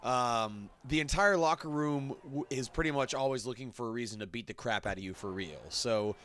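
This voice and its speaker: A man's voice: mid-range voice, moderate pace, fairly bright tone, a little hiss or hum. A man giving casual, wry, conversational reaction commentary over video game scenes.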